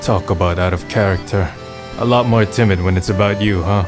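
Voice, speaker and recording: A man voicing a weary, cynical young man in a manga dub, with a reflective, low-key and dryly blunt delivery. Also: brisk, music in the background, close to an everyday voice. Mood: dry, questioning. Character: weary, cynical young man narrating his final days. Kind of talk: fan manga dub, first-person narration and dialogue.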